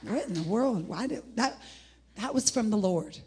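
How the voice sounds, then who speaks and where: A middle-aged woman with an American accent and a husky, gravelly voice, preaching an informal, rambling sermon in an animated, folksy way. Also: medium pitch, moderate pace, some chatter behind, a little hiss or hum. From United States (Indianapolis, Indiana). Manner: animated, rambling, folksy, breaking into laughter and exclamations. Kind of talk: informal sermon with personal testimony.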